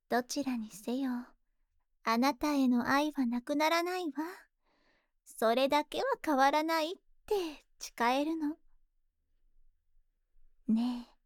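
A woman voicing a lovesick, obsessively devoted female spider monster in an ASMR voice drama, speaking in soft, intimate, doting tones. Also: high-pitched, no room sound, clean studio sound. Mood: intimate longing. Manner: slow, intimate, doting feminine speech. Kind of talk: Japanese ASMR situation voice drama.

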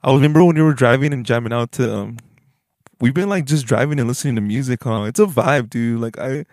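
A man's voice: mid-range voice, fast talker, a little mellow, dry recording, clean studio sound. A young man co-hosting a casual podcast, laid-back and slangy, laughing often. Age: young adult. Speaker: podcast co-host. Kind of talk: casual chat. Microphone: headphones and studio mic.

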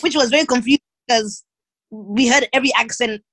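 High-pitched woman, matter of fact, explaining stuff, dry sound. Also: fairly quick, fairly bright tone, slightly rough, dry recording. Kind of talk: casual chat among friends.

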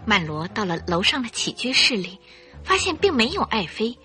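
A woman narrating a radio drama in an expressive, suspenseful storytelling style. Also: a little high, moderate pace, soft background music.

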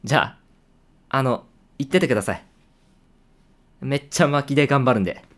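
A playful senior coworker, voiced by a young man, needles his junior in a casual, teasing way. His voice sits very low, and he takes his time over each line. It is a voice drama performance with quiet, hushed asides. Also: takes it slowly. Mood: apologetic. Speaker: voice actor. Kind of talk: situation voice drama.

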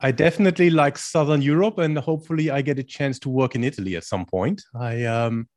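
German-accented male coach in his forties, calm explainer. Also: mid-range voice, fast talker. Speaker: guest, strength and conditioning coach. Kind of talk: podcast interview answers in English.

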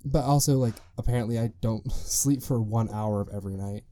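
A man's voice: mid-range voice, a little fast, mellow, a little hiss or hum. A young man hosting his own podcast, rambling loosely and chatting on his own. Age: young adult. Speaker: podcast host. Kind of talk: casual solo podcast monologue.

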